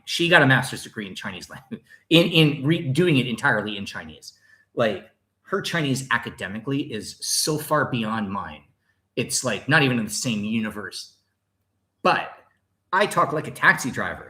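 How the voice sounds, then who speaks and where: A man's voice: fairly low pitch, fairly bright tone. A man in his thirties hosting a casual livestream, answering viewer questions in a rambling, chatty way full of fillers and restarts. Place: home in Thailand.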